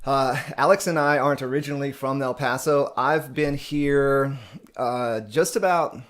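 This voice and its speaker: A man's voice: mid-range voice, unhurried, fairly bright tone. A man from Texas hosting a live stream chat, talking casually with frequent "um" fillers. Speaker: host.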